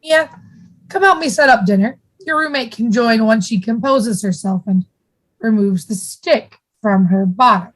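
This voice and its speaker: A woman's voice: fairly high pitch, measured pace, slight background noise, lightly acted, low-quality recording. A woman playing a prim, bossy sitcom mother in a radio play, genteel and condescending. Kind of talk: comedic radio play acting.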